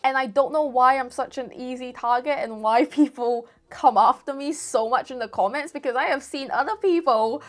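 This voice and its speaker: A woman's voice: high-pitched, moderate pace, hardly any pauses. A woman in her twenties with a Singaporean accent tinged with British, chatting casually to camera and rambling as she answers viewer questions. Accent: Singaporean with a light British mix. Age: 20s. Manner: chatty, rambling, self-correcting. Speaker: golf YouTuber. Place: room at home with football shirts on the wall.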